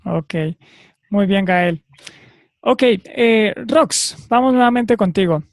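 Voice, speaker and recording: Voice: mid-range voice, slow pace, no room sound. Host on a webinar call, asks long wandering questions, dry close sound. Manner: rambling, repeated words while asking. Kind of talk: interview questions. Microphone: video call.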